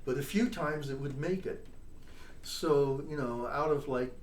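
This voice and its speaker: An older man recalls his work in a relaxed, chatty way, tossing in little check-ins as he explains. He sits some way from the mic, so his voice carries the echo of the room. A faint hiss runs under it. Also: medium pitch, unhurried. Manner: chatty storyteller, tags points with "all right?" and "you know?". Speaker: interview guest, trombonist and composer. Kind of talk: casual storytelling interview. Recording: echoey room, distant mic, faint noise.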